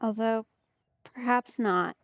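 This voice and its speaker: Unhurried high-voiced woman streamer. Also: no room sound. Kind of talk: casual game commentary. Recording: slightly dull mic, music behind. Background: game music.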